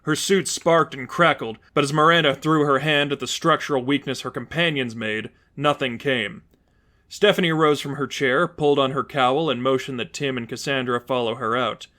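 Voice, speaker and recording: A man's voice: medium pitch, moderate pace, sharp tone. A man reading as narrator, delivering scripted fiction in a storytelling style.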